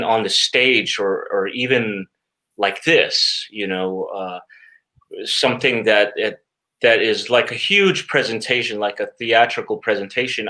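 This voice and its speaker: A middle-aged man speaking conversationally as an interview guest, in a halting way with repeated words and frequent filler phrases. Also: lowish voice, sharp tone, rough recording. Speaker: interview guest, stage magician.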